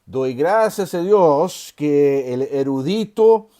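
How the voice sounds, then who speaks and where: A man in his sixties, raised in Chile, giving an online seminary lecture in an unhurried way, with many filler sounds and restarts and the odd gentle joke. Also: fairly high pitch, lively intonation, clear, resonant tone. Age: 60s. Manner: gently joking aside. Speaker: seminary professor.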